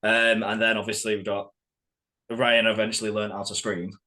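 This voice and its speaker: Lowish-voiced man, blunt and sweary. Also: level tone, moderate pace, pushed and bright. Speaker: band member being interviewed. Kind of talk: casual chat.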